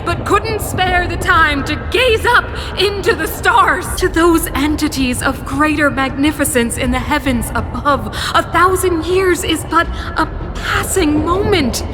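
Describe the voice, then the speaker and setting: An actress playing a cold, grandiose ruling mother who scorns humanity, speaking in solemn, deliberate oratory in a game cutscene. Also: sits high. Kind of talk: dramatic game cutscene acting. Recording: long hall reverb, loud music behind.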